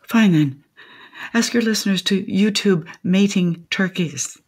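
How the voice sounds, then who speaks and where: Husky, brazen older widow. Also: female voice, medium pitch, a little slow, darkish tone. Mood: acerbic, dry. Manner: acerbic, theatrical, contrary. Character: brazen, cranky widowed grandmother, dining alone, defiant. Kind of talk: comic audio drama acting.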